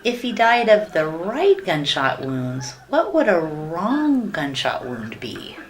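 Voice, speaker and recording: Woman acting a naive wife, wildly swooping pitch. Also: sing-song, slow, exaggerated voice. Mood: puzzled, naive. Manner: innocent, earnest, guileless questioning. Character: naive, adoring wife, innocently dim-witted and sweet. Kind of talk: sitcom-style radio play acting. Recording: noisy track with clicks.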